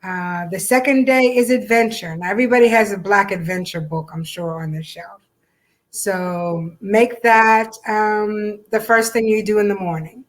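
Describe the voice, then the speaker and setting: A woman's voice: mid-range voice, fairly even intonation, normal speed. A woman co-hosting a casual chat about an event, talking in a chatty, encouraging way. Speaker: co-host.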